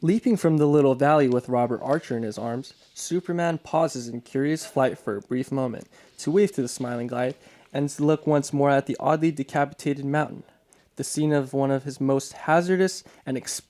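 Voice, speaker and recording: A man's voice: mid-range voice, normal speed, a little mellow. A man reading dramatic scripted narration for a radio play, now and then stumbling over a word. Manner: stumbles and repeats words while reading.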